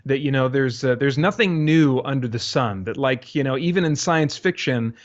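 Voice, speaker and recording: A man's voice: medium pitch, moderate pace. A man being interviewed, answering casually and thinking aloud in a rambling way, often voicing his own thoughts as quotes. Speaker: interview guest, creator of a radio drama. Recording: dull, lo-fi interview audio.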